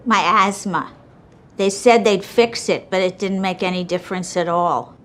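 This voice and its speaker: An actress playing an irritated, defensive asthma patient in a television medical drama, curt and exasperated. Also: female voice, on the low side, moderate pace, close to an everyday voice.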